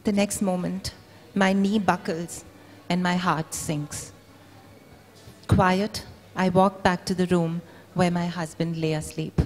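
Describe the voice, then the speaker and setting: A woman's voice: medium pitch, husky. A woman giving a dramatic literary reading aloud at a live book event. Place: large indoor event venue. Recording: echoey hall.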